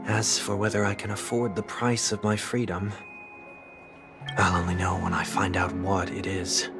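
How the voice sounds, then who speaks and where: An actor playing a composed, formal young man who speaks in measured, deliberate sentences, with music playing behind him. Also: deep voice, little pitch movement. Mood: calm. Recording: game soundtrack music behind.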